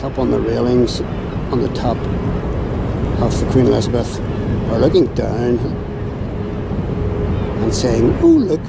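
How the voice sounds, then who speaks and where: A Northern Irish man filming a harbour outdoors, ranting sarcastically in an exasperated, drawn-out way. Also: mid-range voice, vocal fry. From Northern Ireland. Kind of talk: ranting handheld commentary. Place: outdoors on a windy harbour quay. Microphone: camera mic while filming. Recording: outdoor camera audio with steady wind and traffic noise.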